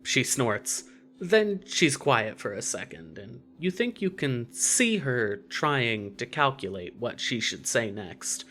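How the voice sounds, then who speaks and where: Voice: medium pitch, big pitch swings, takes it slowly, a bit bright. A narrator reading scripted second-person fiction for an audio story.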